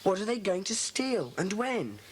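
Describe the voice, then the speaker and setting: An actor plays a solemn schoolboy in charge of his secret club. He speaks deliberately and with command, in children's audio drama style. The cassette recording has faint noise. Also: mid-range voice, sing-song, natural voice. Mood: thoughtful. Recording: faintly noisy 1980s cassette.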